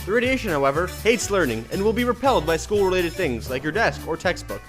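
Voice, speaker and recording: A man puts on an earnest, cheerful announcer from a 1950s public safety film. His fairly high voice swings with lively inflection over faint music. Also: fast talker. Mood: earnest, cheery.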